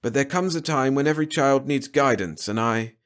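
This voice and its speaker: A man's voice: mid-range voice, fairly quick, voice pushed up, natural voice. Man acting an exasperated, emphatic middle-aged father. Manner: emphatic, indignant.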